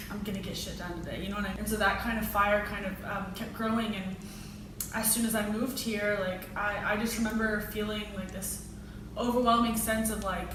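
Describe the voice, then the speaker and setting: A young woman from Texas chatting casually, halting and full of "like" and "um". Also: medium pitch. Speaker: co-host. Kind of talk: casual chat. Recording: echoey room, mic far off, noisy.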